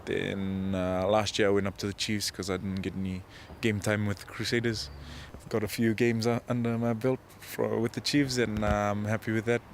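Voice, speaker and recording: Low-voiced, hesitant young Fijian man. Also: level tone, a little hiss or hum. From Fiji. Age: 20s. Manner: hesitant, modest, halting delivery. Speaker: professional rugby player being interviewed. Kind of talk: casual interview answers.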